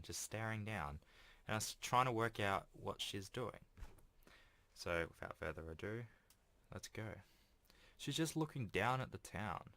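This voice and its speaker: A man's voice: low voice, pushed and bright, no room sound. A man hosting a video game playthrough, reading a visual novel aloud with casual, self-deprecating asides. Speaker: let's play host.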